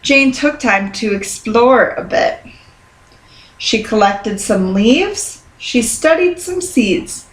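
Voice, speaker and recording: A woman reading a children's picture book aloud in a careful, expressive storytelling manner. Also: fairly high pitch, slow. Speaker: storybook reader. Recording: roomy, echoing sound.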